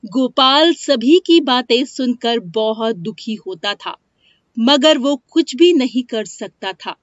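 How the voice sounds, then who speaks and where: A woman's voice: on the high side, animated, slow pace, no echo. A woman reading a scripted moral story as its narrator. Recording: dry, dull lo-fi studio sound.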